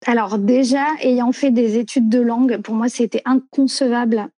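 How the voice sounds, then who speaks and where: Thirties woman from France, mellow tone, chatty and meandering, dry room. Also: fairly high pitch, steady tone, normal speed, no echo. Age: 30s. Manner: rambling, many fillers and self-corrections. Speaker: guest. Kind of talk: casual conversational interview.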